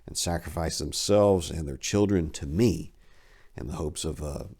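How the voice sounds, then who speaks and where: A man voicing an ancient fallen angel who speaks in a measured, earnest, solemn way, read aloud in a study with a lapel mic. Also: extremely low pitch, a little mellow, husky, heavily acted. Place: study lined with bookshelves.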